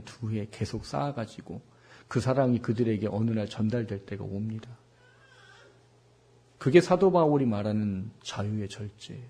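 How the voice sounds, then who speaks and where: Husky middle-aged male preacher. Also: lowish voice, dark tone. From South Korea. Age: 40s. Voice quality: husky, worn voice. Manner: rhetorical questions to the congregation, emphatic repetition. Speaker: pastor preaching. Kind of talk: Sunday sermon with personal anecdotes.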